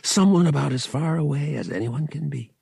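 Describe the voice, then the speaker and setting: A man voices a wry young male pilot in an audiobook. He talks casually and a little hesitantly, with slang. The voice is husky and swings widely in pitch, recorded close and dry. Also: expressive, a little fast, mellow, no room sound, exaggerated voice. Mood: wistful.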